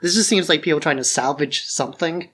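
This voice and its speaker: A man's voice: a little high, a little fast, a bit bright. A man co-hosting a podcast, chatting casually and offering commentary on films. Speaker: podcast co-host. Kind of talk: casual film discussion podcast.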